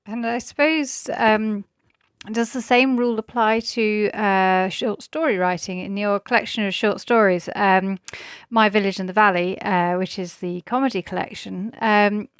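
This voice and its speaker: Female podcast host, medium pitch, hesitant and appreciative questioning, dry recording. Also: steady tone, measured pace, clean tone, no echo. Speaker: podcast interviewer. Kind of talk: conversational interview questions.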